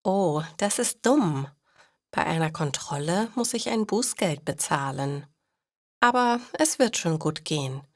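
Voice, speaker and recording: A woman's voice: low-pitched, animated, unhurried, no room sound, studio quality, exaggerated voice. A woman voicing a breezy, overconfident teenage schoolgirl in a storybook, carefree and reassuring. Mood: rueful, hopeful.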